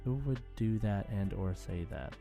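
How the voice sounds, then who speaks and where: A man's voice: fairly low pitch, normal speed, gravelly, warm tone, faint music behind. A man co-hosting a podcast, chatting casually through a quiz. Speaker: podcast host.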